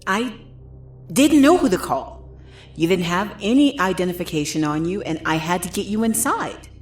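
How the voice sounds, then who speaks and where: A woman's voice: on the low side, expressive, moderate pace, husky. A woman playing a guarded, scheming nurse who narrates her revenge tale in an intimate, suspenseful audio drama style. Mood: feigned concern. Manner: intimate, suspenseful, acted delivery. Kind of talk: dramatic first-person audio fiction narration. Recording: dramatic music behind the voice.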